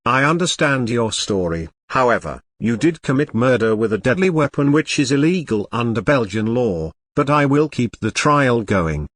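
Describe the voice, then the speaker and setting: A man plays a courtroom judge in a web drama. His voice is mid-pitched and recorded very dry. He talks in a measured, official manner. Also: expressive, fairly bright tone, dry recording.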